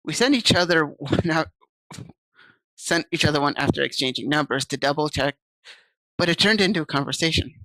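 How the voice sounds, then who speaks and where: A woman's voice: low voice, lively intonation, a little fast, pauses often, fairly bright tone, dry recording, poor audio. Woman streamer reading a game aloud. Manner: chatty, reads game text aloud and answers chat. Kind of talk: casual stream, reading a visual novel aloud.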